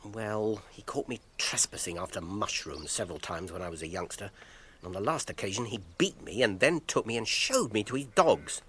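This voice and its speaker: An actor playing an earnest, anxious gentleman hobbit in a radio drama, measured and grave, with an English accent. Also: on the low side, expressive, slight background noise. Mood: fearful, rueful.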